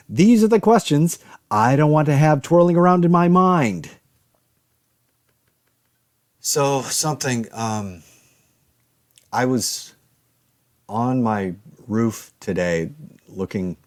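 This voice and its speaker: A man playing an anxious, wry survivor of a zombie apocalypse, talking through his thoughts in a halting, exasperated audio drama monologue. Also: fairly low pitch, a little mellow, no echo, clean studio sound, natural voice. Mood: subdued, uneasy.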